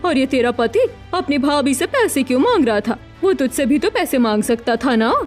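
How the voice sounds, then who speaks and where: A woman's voice: high voice, expressive, normal speed, soft background music. A woman voicing a scheming, suspicious middle-aged mother in a dramatized Hindi story. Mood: scolding, scheming.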